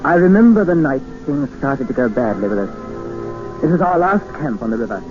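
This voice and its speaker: A man's voice: mid-range voice, animated, moderate pace, lightly acted. An actor playing a young English explorer who narrates his own story in a 1950s radio drama, wry in tone. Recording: dull old radio broadcast with music.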